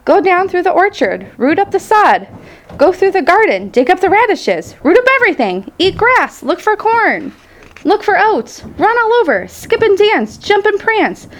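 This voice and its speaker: A woman voicing a bossy, excitable goose in a children's storybook, rattling along and repeating phrases over and over. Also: sits high, moderate pace. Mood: exuberant. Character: bossy, excitable, fast-talking goose egging on a pig. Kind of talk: children's storybook read-aloud.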